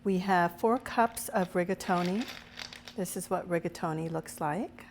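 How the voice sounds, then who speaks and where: A woman hosting her own cooking show, her voice a little raspy as she recovers from a cold, chatting through each step as she cooks. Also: fairly low pitch, measured pace, a little hiss or hum. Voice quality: slightly raspy. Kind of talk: casual cooking demonstration.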